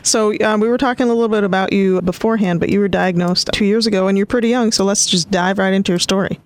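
A woman's voice: mid-range voice, steady tone, quick pace. A woman hosting an interview in a casual, upbeat and informal conversational style. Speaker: interview show host.

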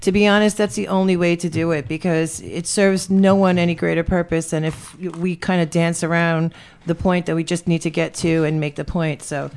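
Deep-voiced, frank New woman medium. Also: steady tone, moderate pace, hardly any pauses, a little mellow. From New York. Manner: straight-talking, frank. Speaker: spiritual medium, radio guest.

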